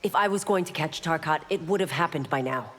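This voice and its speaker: An actress playing a stern, dutiful warrior law enforcer in a video game, composed and formal, with reverb and loud noise around her. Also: deep voice, a little fast, natural voice. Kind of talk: video game character dialogue. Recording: reverberant, very noisy game audio.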